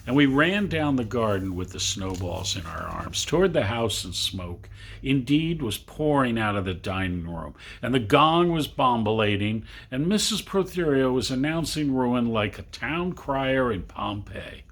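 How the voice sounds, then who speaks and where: A man narrates a storybook in a husky voice. He takes his time, phrasing each image deliberately with long pauses. His intonation rises and falls widely and dramatically. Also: medium pitch, sing-song, fairly bright tone. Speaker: reader. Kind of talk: expressive storybook narration for children.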